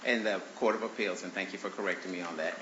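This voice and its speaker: A man arguing his own motions before a judge in a courtroom, speaking haltingly with many fillers and restarts, his voice congested from allergies. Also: sits low, steady tone. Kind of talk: courtroom argument. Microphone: courtroom microphone on a stand. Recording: roomy, dull courtroom recording with faint hiss.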